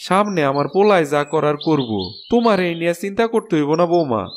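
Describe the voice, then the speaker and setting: Man as a high-pitched, weary old village farmer. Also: animated, fast talker, a little hiss or hum, lightly acted. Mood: weary protest. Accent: rural Bangladeshi Bengali. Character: ageing, weary, kindly village farmer father. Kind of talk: cartoon fairy-tale acting.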